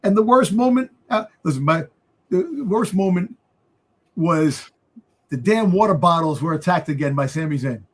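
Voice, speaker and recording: A man's voice: high voice, expressive, moderate pace. A man on a casual wrestling fan panel, bantering and repeating his phrases for emphasis.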